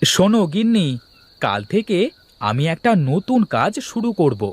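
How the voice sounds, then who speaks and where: Man acting a lively, scheming village boss. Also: high-pitched, measured pace, husky, full character voice. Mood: eager, explaining. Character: scheming, optimistic village husband and gang boss in a comedy. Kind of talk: Bengali comedy cartoon dubbing.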